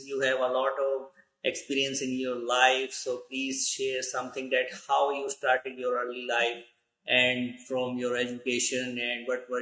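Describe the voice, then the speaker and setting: Host: man, bright and sharp tone, formal questions, roomy echo. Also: medium pitch. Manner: formal, with non-native phrasing. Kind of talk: interview hosting. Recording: echoey room.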